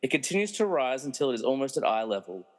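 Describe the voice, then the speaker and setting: Theatrical comedy narrator played by a man, mid-pitched and very animated, dry mocking asides, long hall reverb. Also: quick pace, natural voice. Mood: storytelling. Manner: wry, theatrical. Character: wry, meddling movie narrator who mocks the characters. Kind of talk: dramatic scripted narration with comic asides.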